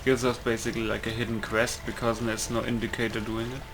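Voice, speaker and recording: Male gaming host, casual commentary, medium pitch, thinks aloud, clear music behind. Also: level tone, fast. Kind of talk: casual let's play commentary. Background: game music.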